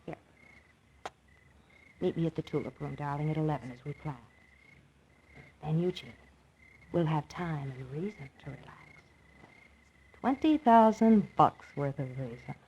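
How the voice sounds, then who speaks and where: An American actress playing a cool, scheming ex-wife, brisk and commanding, in a 1940s radio drama. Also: female voice, low voice, animated, warm tone, lo-fi sound, slight background noise. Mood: coaxing, impatient.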